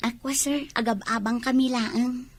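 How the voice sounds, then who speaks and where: A woman's voice: a little high, steady tone, unhurried, dry recording. A woman with an Ilocano accent playing a coy, scheming young college girl in a radio drama.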